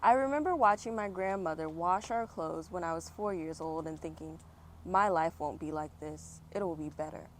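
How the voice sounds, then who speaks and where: Low-pitched woman reading aloud outdoors. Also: moderate pace. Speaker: children's book read-aloud host. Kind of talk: picture book read-aloud with casual asides. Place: outdoors on a fall day. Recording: outdoor background noise, passing vehicles. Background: outdoor ambience, traffic.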